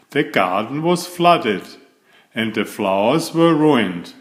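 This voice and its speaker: A man reading a children's picture book aloud in a deliberate, expressive storytelling style. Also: medium pitch. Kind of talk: read-aloud storybook narration.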